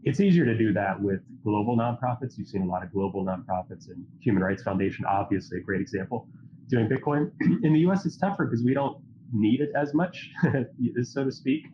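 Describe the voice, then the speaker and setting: A man with a fairly low voice speaks as a guest, sounding stuffy from a cold. He talks earnestly and at length, leaning on fillers as he thinks. The audio is dull and lo-fi with a faint hiss underneath. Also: a little fast. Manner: earnest, rambling, filler-heavy. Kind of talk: casual chat.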